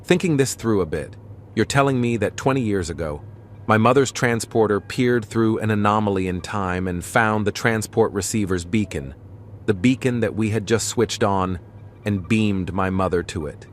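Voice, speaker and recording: A man's voice: lowish voice, moderate pace, lightly acted. A man playing an earnest, reflective starship captain and devoted son in a fan audio drama. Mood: inquiring. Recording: faint background noise.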